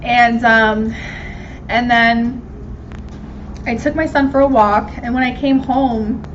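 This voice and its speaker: A woman's voice: a little high, level tone, takes it slowly. A woman of twenty-nine with an American accent, chatting casually and candidly in a slangy, self-mocking way as she hosts a question-and-answer vlog. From Miami, Florida. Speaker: video host answering viewer questions. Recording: dull lo-fi sound with background noise.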